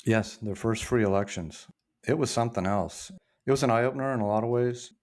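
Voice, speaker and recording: A man in his sixties with a very low, deep voice talks through his memories as a podcast guest. He is reflective and halting, often restarting his sentences as he tells his story. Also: darkish tone. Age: 60s. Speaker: podcast guest, former journalist. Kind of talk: casual storytelling interview.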